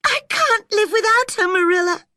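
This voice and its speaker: A woman voicing an emotional, imaginative young girl who sobs and speaks high and dramatically. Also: lively intonation, normal speed, bright, forceful tone, no room sound, clean studio sound, exaggerated voice. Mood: sobbing.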